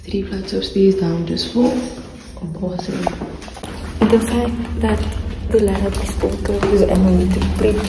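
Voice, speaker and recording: A woman's voice: medium pitch, unhurried, gravelly, noisy background. A young woman chatting casually to her vlog as a student, in a slangy, informal way with swearing. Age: young adult. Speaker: student vlogger.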